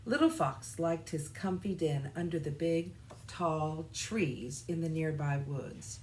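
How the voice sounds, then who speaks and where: A woman with a fairly low voice narrates a children's picture book. She takes her time, phrasing expressively and deliberately. Some noise sits in the background. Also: a little mellow. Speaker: children's music director reading a storybook. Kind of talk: scripted children's storybook narration.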